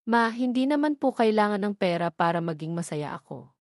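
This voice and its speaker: A woman's voice: medium pitch, expressive, a little fast, no echo, studio quality, lightly acted. A woman voicing an emotional young daughter in love, pleading, in an audio drama. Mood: tearful.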